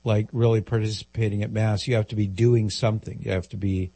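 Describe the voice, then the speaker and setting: Older male radio host, low and husky, close dry studio mic, calm fatherly advice to callers. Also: fairly quick, no echo.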